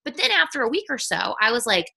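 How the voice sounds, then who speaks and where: A woman talking casually on a video call as a guest, upbeat and chatty, with many quick restarts. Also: a little high, very animated, gravelly, pushed and bright, slightly rough. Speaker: guest sales ambassador. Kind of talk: casual live-stream chat.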